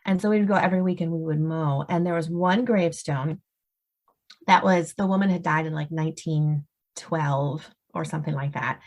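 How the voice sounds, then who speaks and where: A woman's voice: sits low, moderate pace, a little mellow. An American woman speaking as an interview guest, telling personal stories casually and acting out little quoted asides. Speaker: guest, suspense novelist. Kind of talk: casual storytelling in conversation.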